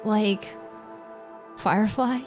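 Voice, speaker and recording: A woman's voice: medium pitch, steady tone, extremely slow. A woman streaming a game with casual, playful live reactions. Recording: game music behind.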